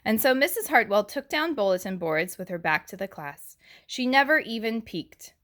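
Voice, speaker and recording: A woman reading a picture book aloud to young children in an animated teacherly way, pausing to ask questions and chat about the story. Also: medium pitch. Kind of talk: expressive read-aloud storytelling with asides and questions.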